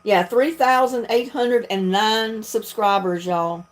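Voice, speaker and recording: Woman, Southern US accent, mid-pitched voice, folksy, chatty, casual livestream talk. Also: takes it slowly. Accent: Southern US, North Carolina. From North Carolina, United States. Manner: folksy, chatty, laughs often. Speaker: video creator hosting a livestream.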